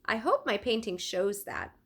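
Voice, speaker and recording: A woman's voice: mid-range voice, big pitch swings, measured pace, husky, heavily acted. A woman voicing a passionate, commanding male master painter from a storybook in an emphatic, declaiming manner. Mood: earnest.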